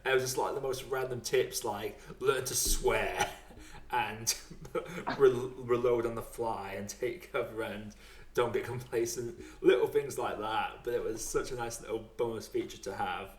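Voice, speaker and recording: A man's voice: mid-range voice, steady tone, unhurried, a little hiss or hum. A British man in his twenties chatting casually and jokily about films, often laughing as he talks. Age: 20s. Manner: chatty, jokey, laughs often while talking. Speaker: podcast host. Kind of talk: casual film chat. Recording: echoey room.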